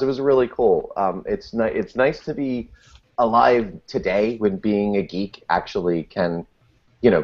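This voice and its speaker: A man's voice: deep voice, a little mellow. A man talking casually as a podcast guest in a wry, self-deprecating, rambling way with frequent false starts. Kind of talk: casual interview chat. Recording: dull, muffled lo-fi audio.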